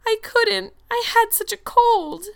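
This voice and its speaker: A woman acts out an excitable young orphan schoolgirl from a storybook. The voice sits very high, well above an everyday register. She is effusive and dramatic, easily mortified, and spills out her feelings in long, melodramatic sentences. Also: brisk. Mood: despairing, sobbing. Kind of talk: storybook character voice.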